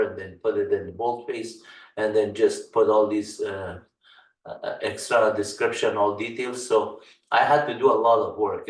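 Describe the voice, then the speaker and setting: A man from Afghanistan with an Afghan accent, a native Farsi speaker, teaching a Linux course in a screen-share walkthrough and speaking haltingly with many "uh" fillers. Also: on the low side, far from the mic. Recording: roomy echo, mic set back.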